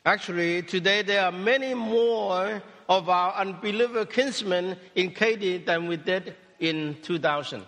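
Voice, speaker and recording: Cantonese-native pastor giving a sermon in short, paused phrases, slightly high voice, long reverb of a church hall. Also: male voice, a bit bright. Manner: speaks in short phrases, pausing for interpretation. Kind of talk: sermon testimony with interpreter.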